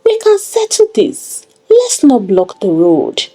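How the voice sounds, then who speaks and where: A woman voicing a calm, composed young wife in a story, polite and measured. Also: fairly high pitch, voice pushed up, heavily acted. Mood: calm, firm.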